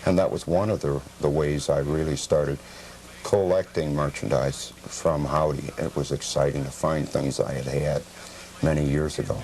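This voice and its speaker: A middle-aged man with a very deep voice gives interview answers. He is measured and slightly formal, stating things plainly, on an old cable TV tape with faint noise. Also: fairly even intonation, darkish tone, rough recording. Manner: measured, formal, matter-of-fact. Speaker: interview guest, memorabilia collector. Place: television program set.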